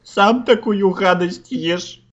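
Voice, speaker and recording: Guy doing a fed-up folksy tsar, pitched way up, scolding in rhyme, bit dull sounding audio. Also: slow pace. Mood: furious. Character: exasperated, blustering male tsar, father of a teenage girl. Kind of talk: rhymed comic fairy-tale performance.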